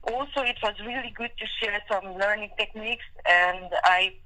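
A woman's voice: medium pitch, moderate pace, bright, forceful tone. A woman from Romania whose first language is Hungarian, a dentist answering interview questions over a call, speaking carefully with hesitant fillers. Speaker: dentist guest. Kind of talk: remote podcast interview. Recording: tinny phone-call line.

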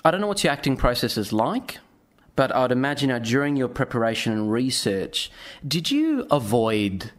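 Male podcast host, medium pitch, conversational interviewer who rambles and repeats phrases. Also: sing-song, moderate pace.

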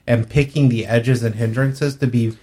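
A man's voice: mid-range voice, fairly even intonation, normal speed, dark tone. A man co-hosting a podcast, giving his opinions in casual discussion. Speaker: podcast co-host.

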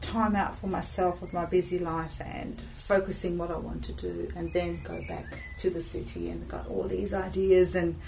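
Soft-voiced woman, reflective and halting. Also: fairly low pitch, expressive, vocal fry. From Australia. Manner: reflective, halting, laughs often. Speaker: business owner talking about her drawing. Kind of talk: casual chat. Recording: distant mic with faint background noise.

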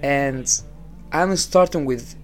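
Egyptian male podcast host, English, unhurried motivational talk, budget mic. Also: medium pitch, soft background music. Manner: unhurried, repeats phrases, non-native English. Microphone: small low-cost podcast setup.

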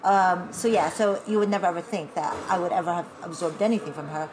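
A woman from Taiwan who grew up speaking Taiwanese, talking as a guest in a casual interview, animated and chatty with laughing asides. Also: lowish voice, fast talker, background noise. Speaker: guest, burlesque performer.

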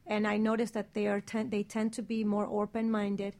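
A woman's voice: medium pitch, monotone, moderate pace, a little mellow. A woman chatting casually and candidly as a panelist, blunt and emphatic, repeating herself to make a point. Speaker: talk show panelist.

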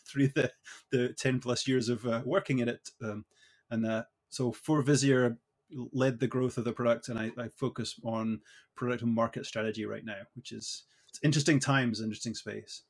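A man's voice: mid-range voice, steady tone, normal speed. A middle-aged man from Scotland with a Scottish accent, answering interview questions thoughtfully, with many fillers and restarts. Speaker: guest.

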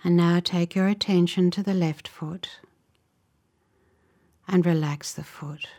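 A woman leading a guided relaxation meditation in a soft, breathy voice, giving gentle, soothing instructions in short, measured phrases. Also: lowish voice, fairly even intonation. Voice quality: soft, breathy, often near a whisper.

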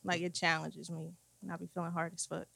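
Woman in her twenties, musician guest, low voice, dry sound, relaxed and upbeat. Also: fast, vocal fry, dry recording. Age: 20s. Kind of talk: casual chat interview.

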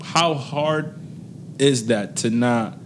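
A man's voice: medium pitch, very slow, slight background noise. A man hosting a casual interview, joking and rambling with lots of restarts. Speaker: podcast host.

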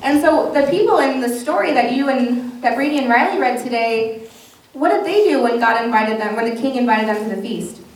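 High-voiced woman pastor in an echoey church. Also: fairly quick. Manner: encouraging, simple questions and repeating answers back. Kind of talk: children's message and sermon to a congregation. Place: indoors, large reverberant church. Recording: very echoey church, distant mic, faint noise.

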